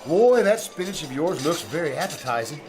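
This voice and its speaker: A man's voice: on the high side, big pitch swings, fairly quick, crowd noise. A man playing a shy, polite, oblivious biology teacher with a hesitant, stammering manner in old-time radio comedy. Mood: bashful, hesitant. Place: small-town theater stage, live performance. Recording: live theater stage with audience.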